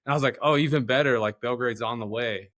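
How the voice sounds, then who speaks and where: A man's voice: medium pitch, fast talker, a bit bright, no echo, clean studio sound. A man hosting a podcast, chatting informally and putting loosely phrased questions to a guest. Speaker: podcast host. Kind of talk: casual chat, interviewing.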